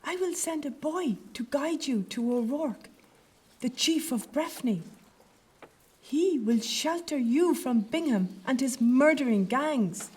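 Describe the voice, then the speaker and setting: A woman's voice: sits high, lively intonation, slow pace, a little mellow, slightly rough, full character voice. A woman playing a commanding, compassionate protectress in an audio play, speaking emphatically and dramatically. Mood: reassuring.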